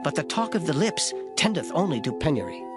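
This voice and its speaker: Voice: medium pitch, very animated, a little fast, natural voice. A reader giving a dramatized delivery of scripture in formal old English, emphatic and solemn. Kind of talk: dramatized Bible reading, formal King James English. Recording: loud music behind the voice. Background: music.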